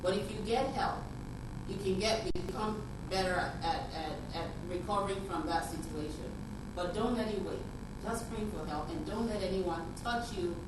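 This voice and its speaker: A woman's voice: medium pitch, moderate pace, very noisy. A middle-aged woman from Nigeria gives an earnest, urging public talk into a handheld mic in a conference room, repeating her key phrases. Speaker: speaker. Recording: echoey room from far off.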